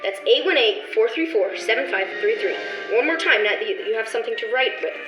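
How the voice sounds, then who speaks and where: A woman's voice: a little high, animated, fairly quick. A woman reading a scripted sponsor message in the style of an old radio commercial. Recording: roomy recording over music.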